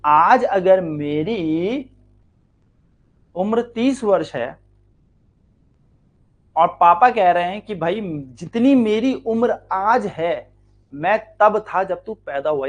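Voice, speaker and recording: High-pitched indian guy doing an exam maths class, keeps asking the class questions then answers them, repeats stuff and stretches words. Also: lively intonation, unhurried. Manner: explains step by step, asks the students questions and answers them. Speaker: maths teacher. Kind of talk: exam-prep maths lesson.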